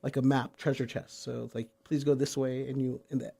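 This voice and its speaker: A man's voice: mid-range voice, little pitch movement, fairly quick, creaky, dark tone. A man from San Diego, a brewery guest on a radio show, talking eagerly and repeating words for emphasis. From San Diego, USA. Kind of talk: casual radio interview. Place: radio studio.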